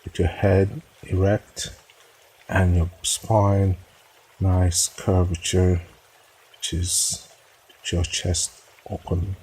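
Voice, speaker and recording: A man leading a guided meditation in a measured, solemn, instructive way, leaving pauses between phrases. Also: deep voice, flat delivery, darkish tone, slight background noise, low-quality recording.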